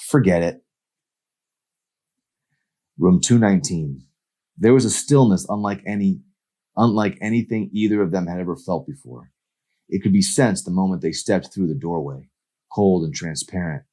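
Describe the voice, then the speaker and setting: A man reading his own novel aloud as an author, in an expressive audiobook-style narration. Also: low-pitched.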